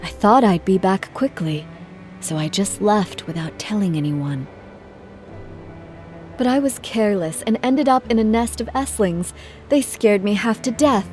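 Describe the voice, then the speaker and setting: An actress playing a gentle, earnest traveling musician in a video game, speaking politely and in measured phrases. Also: female voice, a little high. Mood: rueful. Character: gentle, earnest female traveling musician, composed but careworn. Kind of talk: video game cutscene acting.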